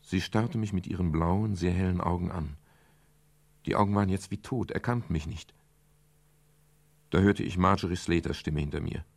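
A sober private detective with a low voice and a creaky edge. He is measured and matter-of-fact, in the manner of a radio crime play. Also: no echo. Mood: sober, tense. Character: pensive, matter-of-fact private detective narrating his case. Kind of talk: scripted first-person radio crime narration.

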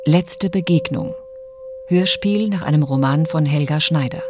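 Slow-paced woman announcing radio drama credits. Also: deep voice, over music. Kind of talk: scripted title and credits announcement.

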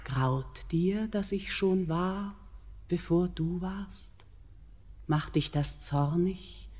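Otherworldly mountain queen. Low, slow, solemn and yearning, in a cavernous reverb. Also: mellow, slightly rough. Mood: proud, pleading. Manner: solemn, measured, otherworldly declamation. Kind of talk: classic German radio verse drama, declaimed.

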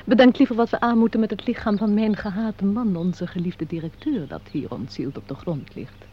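An actress playing an imperious, scheming widow and company director in a radio play, haughty and formal in delivery. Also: female voice, medium pitch, normal speed, lightly acted. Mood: cold, dismissive. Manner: haughty, formal, theatrical declamation. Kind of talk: Dutch radio play acting. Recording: dull, muffled radio play recording.

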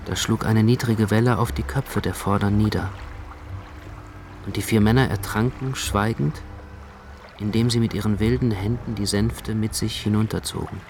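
A man playing a gravely tender, observant storyteller in a literary radio drama, narrating with measured precision. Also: fairly low pitch, steady tone, darkish tone, a little hiss or hum, close to an everyday voice. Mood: grave narration. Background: prominent music.

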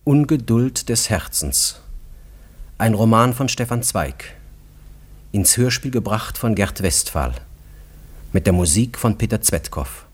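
A man playing the storyteller of a radio drama, giving literary narration in measured, expressive phrases. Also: on the low side, slightly rough. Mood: grave, reflective. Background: music.

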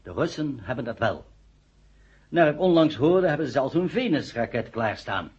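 A man in a radio play acting a formal, hard-headed, coldly logical council member with a husky voice. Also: mid-range voice, big pitch swings, moderate pace, darkish tone. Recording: dull old radio play recording.

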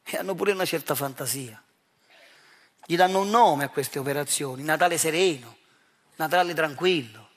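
A man's voice: high-pitched, a bit bright. A man with a Neapolitan accent performing a theatrical monologue, addressing his audience directly in a provocative, accusatory way with rhetorical questions. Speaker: stage actor performing his own monologue.

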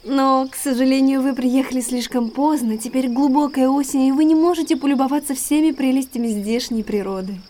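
High-voiced, anxious, refined lady, acted by a woman. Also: steady tone, brisk, hardly any pauses, dark tone, close to an everyday voice. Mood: guarded politeness. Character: Beryl, elegant, anxious, secretive naturalist's sister. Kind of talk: audio drama acting.

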